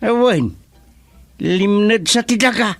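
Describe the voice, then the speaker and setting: A man playing an excitable village chairman in an Ilocano radio comedy, exclaiming often in an Ilocano accent. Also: sits high, slow, no room sound, soft background music, full character voice. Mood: chatty.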